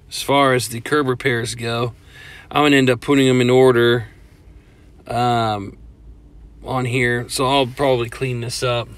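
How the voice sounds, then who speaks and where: A man's voice: medium pitch, bright, forceful tone. Matter-of-fact man walking a parking lot. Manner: plain, matter-of-fact, counts aloud with fillers. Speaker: paving estimator. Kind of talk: casual walkthrough narration. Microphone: phone held in hand while walking.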